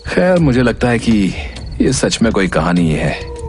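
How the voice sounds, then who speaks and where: A man's voice: lowish voice, big pitch swings, measured pace. A man voicing an earnest, curious young male reporter in a cartoon story, often thinking aloud. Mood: musing.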